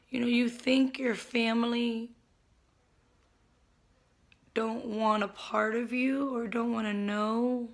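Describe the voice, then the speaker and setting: A woman with a hoarse voice talking at home in an earnest, open vlog about her own struggles, keeping her naturally loud voice toned down. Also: a little high. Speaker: vlogger. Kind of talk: candid personal vlog talk. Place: at home, indoors.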